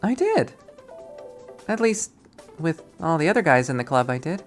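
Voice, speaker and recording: A man voicing a sweet but scheming young college woman, coy and swooping up high as he reads a visual novel. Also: big pitch swings, normal speed, soft background music. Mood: plaintive.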